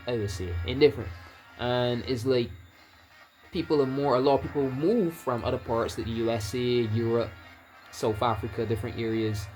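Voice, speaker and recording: A man with a Barbadian accent talks through his gameplay in a loose, rambling way, stumbling over words and circling back on himself. His voice sits at an ordinary middle pitch. Quiet game music plays faintly behind him. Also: low-quality recording. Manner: rambling, restarts words, laughs often. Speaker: gaming YouTuber. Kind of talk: casual gameplay commentary.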